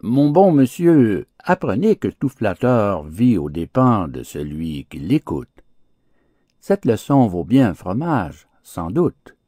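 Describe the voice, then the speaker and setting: A man voicing a sly storybook fox who flatters in an unctuous, drawn-out manner. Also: lowish voice, breathy edge, no room sound, exaggerated voice. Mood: smug.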